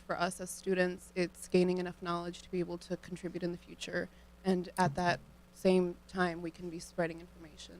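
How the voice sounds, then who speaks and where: Gravelly low-voiced young woman. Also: low-quality recording. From Cleveland, Ohio, United States. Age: young adult. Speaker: student guest. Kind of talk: radio interview answers. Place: radio studio. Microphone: studio microphone.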